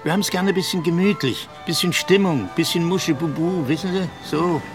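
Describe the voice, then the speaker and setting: A man's voice: on the high side, a little fast, music in the background, lightly acted. An actor in his sixties playing a chatty, sentimental Saxon husband in a radio drama, from Saxony, talking in a confiding way. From Saxony, Germany.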